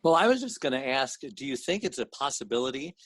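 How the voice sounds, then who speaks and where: A man with a medium-pitched voice co-hosts an interview, recorded dry with no room sound. His questions come out as casual, chatty, informal talk. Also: sing-song, fast talker, fairly bright tone, slightly rough, no echo. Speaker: radio co-host asking questions.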